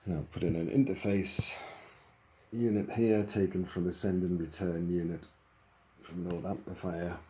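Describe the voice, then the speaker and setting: A man with a low-pitched voice gives an informal, hands-on demonstration. He thinks aloud as he explains, like a hobbyist presenter. A faint noise sits behind his voice. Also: fairly even intonation, moderate pace, warm tone.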